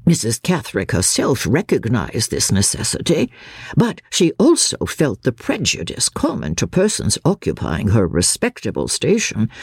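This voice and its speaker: A woman reading a classic novel dramatically as a formal, judicious Victorian male lawyer, with deliberate, expressive phrasing. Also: extremely low pitch, husky, no echo, studio quality, exaggerated voice.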